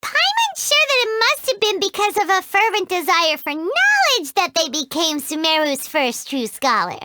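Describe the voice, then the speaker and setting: A woman voicing a tiny, excitable, greedy female fairy companion in a game who talks about herself in the third person. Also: very high-pitched, moderate pace, very noisy, heavily acted. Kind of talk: game voice acting.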